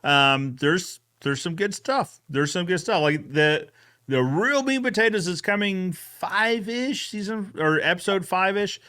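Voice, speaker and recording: A man's voice: high voice, lively intonation, measured pace, bright, forceful tone. A man hosting a podcast recap in a casual, enthusiastic chatting style. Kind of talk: casual chat.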